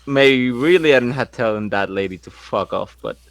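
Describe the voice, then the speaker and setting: A man's voice: medium pitch, animated, moderate pace, no room sound. Tabletop player, male, middle-range voice, dry sound, casual chat and in-character lines. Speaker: player in a tabletop role-playing game.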